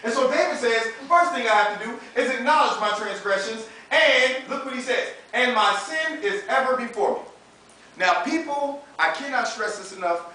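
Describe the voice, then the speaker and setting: High-voiced American preacher in an echoey room. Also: male voice, animated, normal speed, pushed and bright. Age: 30s. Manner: emphatic, colloquial, uses rhetorical questions and acted-out dialogue. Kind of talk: animated, conversational sermon. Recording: echoey room, distant mic, faint noise.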